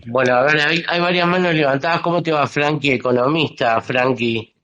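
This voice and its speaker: A man's voice: a little high, moderate pace, a bit bright. A man hosting an online discussion in an informal, questioning way. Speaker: host of an online audio discussion. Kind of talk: casual moderated political chat. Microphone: live online audio chat.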